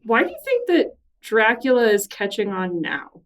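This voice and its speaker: A woman's voice: on the high side, wildly swooping pitch, moderate pace, distant mic. A woman hosting a podcast interview, asking curious questions and laughing often. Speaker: podcast host. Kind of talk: casual interview conversation.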